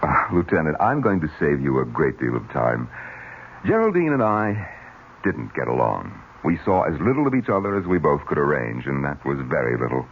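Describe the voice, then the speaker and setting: An actor playing a smooth, guarded nephew who manages a mill, in a theatrical old-time radio mystery style. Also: male voice, extremely low pitch, big pitch swings, normal speed, heavily acted. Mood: guarded, firm. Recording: dull, noisy old radio recording.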